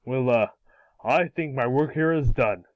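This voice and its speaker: A man's voice: medium pitch, measured pace, no room sound, lightly acted. Man playing a cocky boy hero, muffled mic. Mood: pleased. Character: cocky, excitable young boy cartoon hero. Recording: dull, lo-fi microphone.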